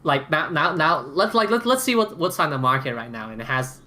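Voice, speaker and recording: A man's voice: fairly high pitch, expressive, brisk, sharp tone. A man co-hosting a casual roundtable podcast about gear, talking emphatically with repeated phrases and casual swearing. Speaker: podcast co-host.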